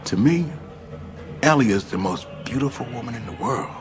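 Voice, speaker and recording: A man's voice: medium pitch, expressive, music in the background, close to an everyday voice. A man acting a laid-back, mischievous, worldly friend in a radio drama, telling things in a wry, colloquial way. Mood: wry, amused. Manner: unhurried, wry, chuckling, colloquial.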